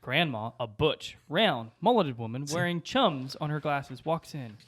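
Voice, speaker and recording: A man narrates a comedy script's stage directions. His voice is a little high and very animated in pitch. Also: a little slow.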